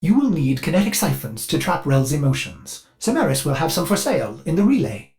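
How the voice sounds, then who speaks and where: An actor voicing a servile, anxious-to-please ship artificial intelligence in a video game, polite, formal and theatrical. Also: male voice, a little high, big pitch swings, brisk, darkish tone. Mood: dutiful. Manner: polite, formal, theatrical, speaks of himself in third person. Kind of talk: scripted video game character lines.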